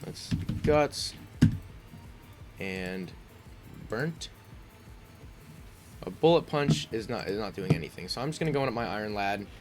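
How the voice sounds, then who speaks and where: A man's voice: on the low side, expressive, a little slow, long pauses, loud music behind the voice. A congested man giving casual commentary on his own game, thinking aloud with frequent ums and restarts. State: congested, sniffling. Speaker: gaming YouTuber.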